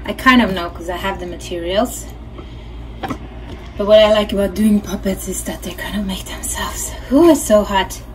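A woman's voice: medium pitch, fairly even intonation, normal speed. A middle-aged woman from Norway with a Norwegian accent, giving a casual craft tutorial and thinking aloud as she demonstrates. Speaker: puppeteer teaching a craft workshop. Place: small home studio, indoors. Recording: clearly noisy home studio recording.

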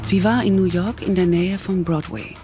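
A male narrator with a rather high voice reads a scripted thriller audio drama. Clear music plays under his narration. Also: steady tone, moderate pace, warm tone.

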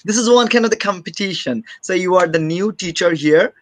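A man's voice: high-pitched, fairly quick, a bit bright, dry recording. A young man hosting a live video call for an English school, earnest and repetitive, with nonnative English phrasing. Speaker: host of an online school show.

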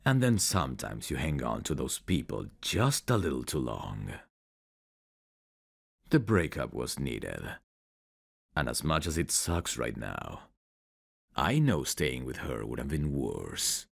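Guy playing a teasing flirty dude for asmr roleplay, slow drawn out talk, gravelly n husky, intimate. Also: extremely low pitch, sing-song, heavily acted. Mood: reflective, warm.

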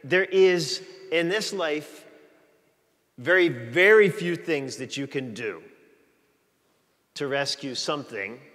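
A man's voice: fairly high pitch, lively intonation, takes it slowly, long pauses, a bit bright. A middle-aged man preaching a conversational sermon as a priest, chatting and joking with his congregation. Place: large church, walking the aisle before the altar. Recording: long church reverb.

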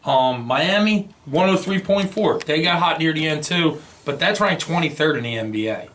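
A man's voice: a little high, lively intonation, normal speed, fairly bright tone. An American man debating sports casually on a podcast, with an emphatic, argumentative, informal way of talking. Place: small indoor podcast studio. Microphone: boom-arm studio microphone with foam windscreen.

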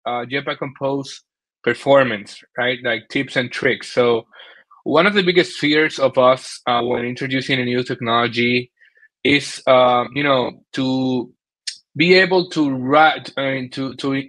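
A man in his thirties from the Dominican Republic with a Dominican Spanish accent, giving a technical presentation over a video call in a halting way, often repeating words. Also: medium pitch, fairly bright tone, poor audio. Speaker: conference speaker, software developer. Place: small indoor room at home, video call.

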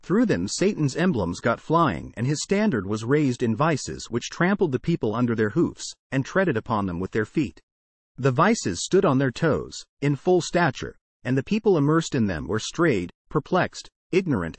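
A man giving a measured, declamatory reading of a religious sermon as narration. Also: medium pitch, sing-song, breathy edge, dry recording. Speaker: sermon reader. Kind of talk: solemn scripted narration over slideshow.

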